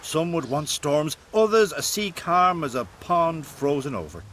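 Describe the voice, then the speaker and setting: A man's voice: fairly high pitch, expressive, fairly bright tone, slight background noise. An actor playing an earnest, philosophical male druid in a fantasy video game, speaking in formal, old-fashioned phrases. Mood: reflective. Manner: formal, measured, archaic phrasing.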